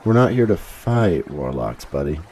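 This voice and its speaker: A man playing a sensible, cautioning male privateer in an audio drama, measured in manner. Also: very deep voice, big pitch swings, darkish tone, slight background noise, full character voice.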